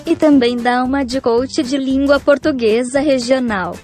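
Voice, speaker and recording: A woman's voice: sits high, measured pace, darkish tone, faint music behind. A woman reading short, teasing narration lines for a compilation video. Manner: teasing, light captions read aloud.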